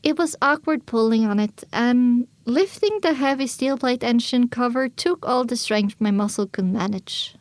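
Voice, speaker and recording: A woman's voice: a little high, normal speed, hardly any pauses, dry recording. A woman reading scripted first-person story narration as the narrator of an audio drama.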